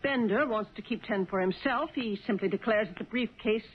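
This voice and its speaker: Skeptical, protective wife, dull old-radio sound. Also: female voice, fairly high pitch, brisk, husky. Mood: suspicious. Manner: pointed, skeptical, insistent. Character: suspicious, protective, sharp-minded wife of cab driver. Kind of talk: 1970s radio drama acting. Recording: dull old radio recording, faint hiss.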